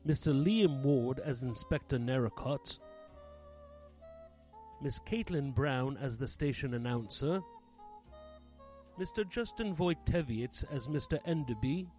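A man's voice: medium pitch, animated, takes it slowly, long pauses, a little mellow. A man reading out cast credits as a formal old-time radio announcer. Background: instrumental music.

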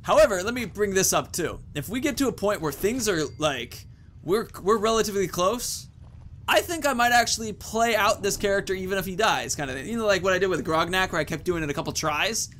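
A man's voice: high-pitched, expressive, a little fast, a bit bright, a little hiss or hum. A man in his thirties giving casual, informal, rambling commentary as he hosts a video game playthrough. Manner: rambling, informal, swears mildly. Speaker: gaming video host.

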